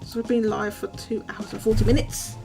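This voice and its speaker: A woman hosting a live call-in stream, chatty and upbeat, her voice going a little hoarse. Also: medium pitch, level tone, brisk, mellow, faint music behind. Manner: chatty, restarts often, upbeat. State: losing her voice. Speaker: host.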